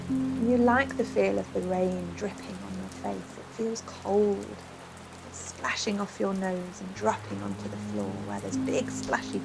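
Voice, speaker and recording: Female relaxation guide for a children's bedtime meditation: medium pitch, gentle, pausing delivery, set over prominent music and rainfall. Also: vocal fry, poor audio. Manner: soothing, gentle, unhurried instruction with pauses. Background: music and rain sounds.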